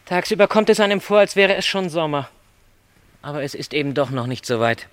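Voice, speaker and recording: A man's voice: fairly high pitch, expressive, a little fast, fairly bright tone, smooth, no echo. An actor playing a lonely middle-aged travelling salesman in a literary radio play, speaking with courteous, reflective resignation.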